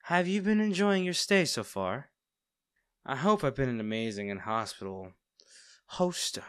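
A man acting as a smitten villain in an intimate audio roleplay, speaking directly to the listener with theatrical pauses. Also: mid-range voice, very animated, a bit bright, clear, resonant tone, no echo, clean studio sound. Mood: teasing, smug. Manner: teasing, theatrical, pausing, addressing the listener intimately. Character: male villain, teasing, smitten captor of a hero.

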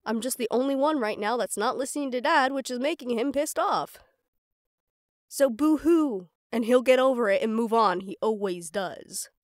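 A woman's voice: on the high side, normal speed, dry recording, clean studio sound, natural voice. A woman playing a stubborn, sarcastic young goddess in an audio roleplay drama, teasing and defiant.

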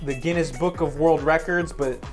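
A young man on a couch with a lapel mic, rambling through a deadpan setup and teasing his friends as they watch a game. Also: a little high, normal speed, faint music behind. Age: young adult. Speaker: co-host. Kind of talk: joking banter while watching a game. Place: living room couch indoors.

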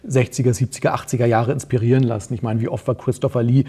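A middle-aged man explaining things in casual conversation, somewhat haltingly. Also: medium pitch, fairly even intonation, warm tone, husky. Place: indoor studio set at a table.

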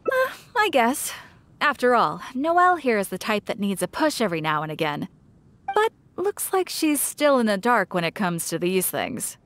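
A woman's voice: medium pitch, moderate pace, no room sound. An actress voicing a cheerful, teasing, chatty shopkeeper woman in a video game. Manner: playful, teasing, expressive. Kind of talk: English video game voice acting.

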